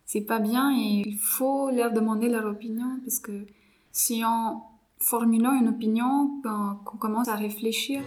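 A woman in her twenties from India, a native Marathi speaker, talking in a relaxed, hesitant way with many pauses as she thinks aloud about her studies and her mentoring. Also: on the high side. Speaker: psychology master's student and volunteer mentor. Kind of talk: casual interview chat.

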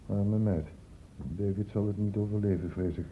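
Man playing a low-voiced, probing investigator. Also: fairly even intonation, dark tone, natural voice. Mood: pensive, probing. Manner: questioning, deliberate. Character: male investigator, probing, thoughtful, quietly insistent. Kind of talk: Dutch radio play acting. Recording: muffled, hissy old radio recording.

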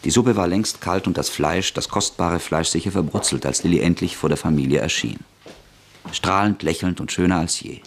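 A man narrating a radio play in a measured, even storytelling manner. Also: low-pitched, a little hiss or hum.

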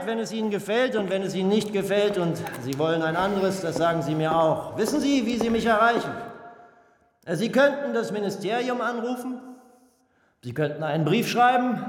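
A man playing a pompous, menacing Nazi propaganda minister in a German radio play, theatrical, swinging from hushed to booming. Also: lightly acted. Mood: menacingly casual. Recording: long hall reverb.

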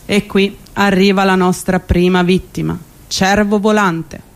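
An actress plays a female guide in a comic radio play. She explains in a wry, mock-documentary manner. Her voice sits at an ordinary middle pitch for a woman. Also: fairly even intonation, unhurried, a little hiss or hum, natural voice. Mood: mock-solemn. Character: wry female guide to an urban jungle of drivers. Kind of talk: scripted comic radio theatre narration.

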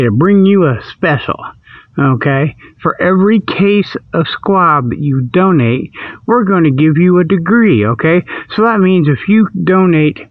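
A man's voice: on the high side, dry recording. A man hosting a comic radio report, rambling through mock sponsor plugs in a folksy, ungrammatical, digressive way. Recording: dull lo-fi, dry close sound.

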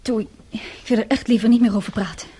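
Breathy and dark, an unhappy secretary in a radio drama. She is guarded and defensive, with a fairly high voice. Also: fairly even intonation, fast talker. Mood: subdued, defensive. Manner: reticent, soft, defensive. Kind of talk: Dutch radio play acting.

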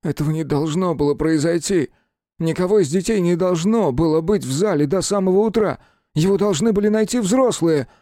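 A man's voice: high voice, a little fast, warm tone, dry recording, studio quality, pitched above the performer's own voice, close to an everyday voice. A man voicing an anguished, bitter hunchbacked male teacher in an audiobook, fervent and defiant, with breathless indignation. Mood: horrified. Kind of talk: dramatic audiobook character reading.

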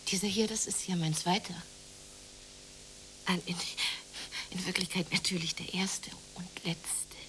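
Hopeful, quietly defiant woman. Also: on the low side, steady tone, unhurried, pauses often, slight background noise, rough recording. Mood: dreamy, hopeful. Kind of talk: naturalistic radio play dialogue.

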